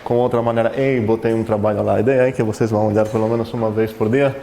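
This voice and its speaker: A man's voice: on the low side, fairly even intonation, quick pace, mellow. Foreign-accented male lecturer, conversational, quizzes the class, recorded in a reverberant hall with faint background noise. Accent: foreign accent in Portuguese. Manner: conversational, asks the class questions, self-correcting. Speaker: university math lecturer.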